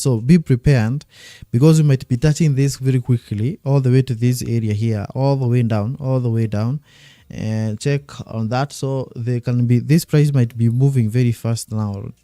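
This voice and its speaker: A man streaming live trading commentary, thinking aloud and repeating himself while he watches the charts, his voice going a little hoarse. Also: medium pitch, mellow, no echo, clean studio sound. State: losing his voice.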